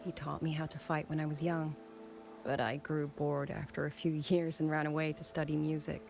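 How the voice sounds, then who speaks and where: An actress playing a grieving former musician turned soldier in a video game, speaking softly and measuredly with wistful resolve, over background music. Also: female voice, low-pitched, little pitch movement, fairly quick, vocal fry. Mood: tender, sorrowful. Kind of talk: dramatic video game voice acting.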